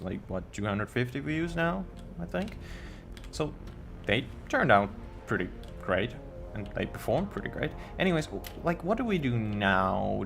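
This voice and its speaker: Slow, halting Finnish-accented male streamer. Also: mid-range voice, creaky. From Finland. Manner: halting, stumbles over words. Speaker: game streamer. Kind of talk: casual live-stream chat while building.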